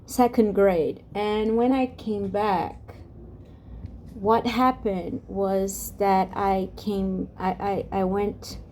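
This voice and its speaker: Slow, soft-spoken Brazilian woman. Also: mid-range voice, steady tone, a little hiss or hum. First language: Portuguese. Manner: halting, many restarts and fillers, drifts off topic. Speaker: ASMR vlogger. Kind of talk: soft-spoken rambling vlog.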